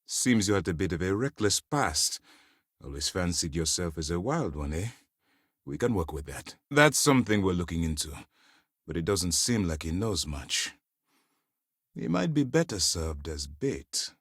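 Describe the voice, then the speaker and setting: An actor playing a stern, principled military space-fleet commander in a video game, speaking formally and with measured authority. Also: male voice, low-pitched, very animated, slightly rough, no echo, studio quality, exaggerated voice. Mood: cool, appraising. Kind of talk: dramatic game character dialogue.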